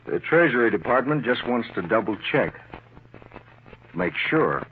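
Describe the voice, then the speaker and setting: An actor playing a polite, businesslike government agent with a formal, official manner in an old-time radio crime drama. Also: male voice, medium pitch, sing-song, a little slow. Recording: muffled, faintly noisy old radio recording.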